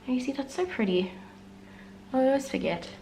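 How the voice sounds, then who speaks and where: A woman's voice: high-pitched, animated, a little fast, warm tone, slight background noise, low-quality recording. A young woman vlogger chatting casually and candidly through a makeup tutorial, sounding a little congested as she gets over the flu. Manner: chatty, candid, self-deprecating asides. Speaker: beauty vlogger.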